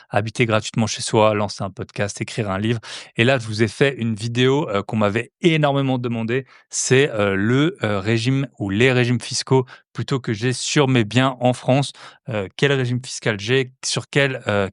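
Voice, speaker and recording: A young adult man with a sing-song accent hosting a podcast. He talks casually and pitches eagerly, with lots of "euh" fillers. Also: no room sound, clean studio sound. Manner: chatty, many "euh" fillers, sales-pitch enthusiasm. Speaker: podcast host. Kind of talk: casual podcast monologue and promo.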